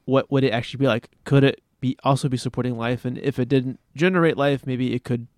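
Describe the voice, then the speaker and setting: A man's voice: medium pitch, steady tone, fast, no room sound, clean studio sound. A man chatting casually, explaining space topics enthusiastically with frequent restarts. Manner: rambling, restarts often, enthusiastic about space. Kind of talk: casual podcast chat.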